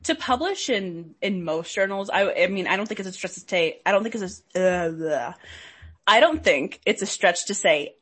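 A young woman, a doctoral student, talking as a podcast guest, lively and laughing often, with many "like"s. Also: mid-range voice, moderate pace, slightly rough. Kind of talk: interview conversation.

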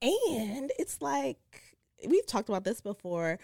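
A woman's voice: fairly high pitch, slow, vocal fry, no room sound. A woman in her early forties co-hosting a podcast, chatting casually and playfully with her close friend. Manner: animated, playful. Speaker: podcast co-host. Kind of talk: casual girl-talk chat between close friends.

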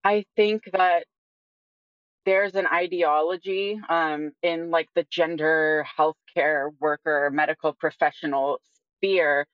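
A woman in her early twenties answering as an interview guest in a measured, matter-of-fact way. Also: sits low, fairly even intonation, dry recording. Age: early 20s. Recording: slightly dull lo-fi voice track.

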